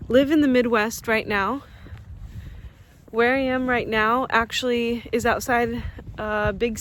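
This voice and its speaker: A young woman from Russia, Russian-speaking from birth, vlogs as she walks outside on a campus. Her voice sits a touch high and she takes her time, chatty and laughing now and then. Faint traffic hums behind her. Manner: chatty, addresses viewers as guys, laughs often. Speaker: vlogger. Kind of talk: casual vlog walk-and-talk. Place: outdoors on a university campus.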